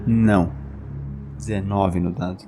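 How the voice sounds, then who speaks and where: A Brazilian man plays in a tabletop role-playing session, speaking in casual banter. His voice is on the low side, with clear music behind it. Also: fairly even intonation, takes it slowly, mellow. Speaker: tabletop RPG player. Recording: background music under the voice.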